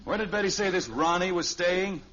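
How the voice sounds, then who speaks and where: An actor playing a stubborn, blustering American father in a 1950s radio sitcom, gruff and indignant. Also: sits high, normal speed, fairly bright tone. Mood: indignant, determined. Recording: muffled, noisy old radio recording.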